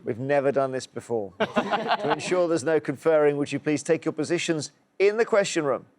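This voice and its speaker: A man's voice: on the high side, lively intonation, normal speed, background noise. A man hosting a TV quiz show, reading questions and bantering playfully with contestants.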